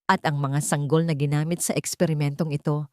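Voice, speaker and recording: A woman's voice: low voice, level tone, brisk, no room sound, studio quality, close to an everyday voice. Woman voicing a determined, accusing Filipina court advocate. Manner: formal, emphatic, accusing.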